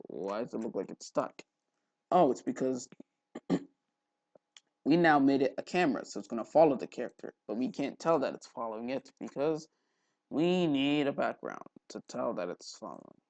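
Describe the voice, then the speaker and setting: A man hosting a casual software tutorial, thinking aloud informally with mild exclamations. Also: medium pitch, animated, moderate pace, creaky, no room sound, muffled recording. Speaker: tutorial host.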